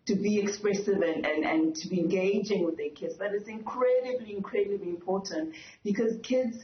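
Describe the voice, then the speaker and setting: A woman's voice: mid-range voice, moderate pace. A woman speaking earnestly as an expert guest in a news interview, explaining things and repeating words for emphasis. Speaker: author and educator, interview guest. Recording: dull, echoey lo-fi remote link.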